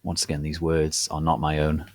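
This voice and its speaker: A man hosting and reading aloud as a dramatic reading, formal, deliberate and gravely theatrical in a mock-solemn way. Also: rumbling low, completely flat delivery, darkish tone, no echo. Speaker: host and reader.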